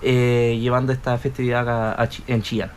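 Mid-pitched man as radio guest. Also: flat delivery, a little fast. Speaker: municipal youth office worker, guest. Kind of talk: conversational radio interview. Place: radio studio. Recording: faint background noise.

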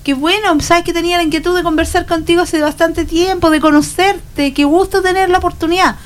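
Chilean woman, high voice, informal panel talk, chatty, illustrates ideas with everyday mini-dialogues. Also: steady tone, fairly quick. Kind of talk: casual conversational discussion.

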